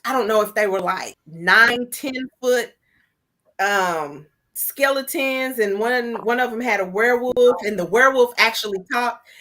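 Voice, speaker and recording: A woman's voice: on the high side, measured pace, bright, forceful tone. A woman guest on a podcast, chatting casually and telling a self-mocking story with lots of expression. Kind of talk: casual chat.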